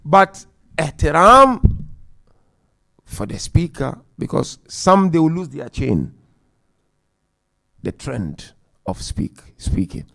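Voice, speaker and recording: A man giving a Muharram religious lecture, counting off numbered points, chanting Quran verses quickly, and swinging between loud emphasis and quiet asides. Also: fairly high pitch, very animated, gravelly. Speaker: Muharram majlis speaker.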